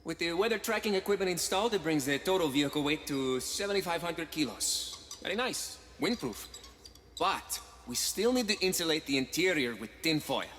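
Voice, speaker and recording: A nervous young nerd with a conspiracy streak, stammering and hesitant. His voice sits a bit high and jumps around, with a long reverb behind it and game music. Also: expressive, normal speed, fairly bright tone. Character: nervous nerdy young male tech guy, conspiracy-minded. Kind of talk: video game cutscene acting.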